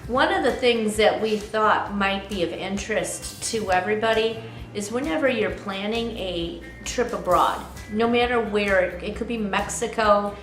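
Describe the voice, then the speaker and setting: A woman's voice: mid-range voice, fairly bright tone, roomy sound. A woman co-hosting a casual advice show, explaining travel preparation step by step and quizzing her co-host. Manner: explanatory, asks co-host quiz questions.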